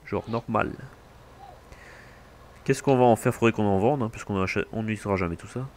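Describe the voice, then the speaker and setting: Host, French male gamer, lowish pitch, laid-back joking co-op commentary. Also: a little fast, a little hiss or hum. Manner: relaxed, joking banter. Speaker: gaming channel host. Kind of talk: casual co-op gameplay chat.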